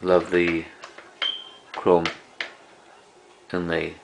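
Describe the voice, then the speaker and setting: Casting: man, very low creaky voice, casual collector showing items, halting and unscripted, slight hiss of background noise. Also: completely flat delivery. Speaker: cassette collector presenting a collection. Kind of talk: casual unscripted show-and-tell.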